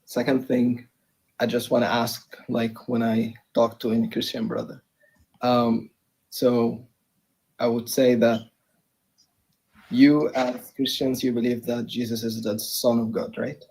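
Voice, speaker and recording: Hesitant husky young man from Egypt. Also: mid-range voice, flat delivery, a little slow, a little mellow, poor audio. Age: young adult. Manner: hesitant, many fillers and restarts. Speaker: debate participant. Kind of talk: live debate chat.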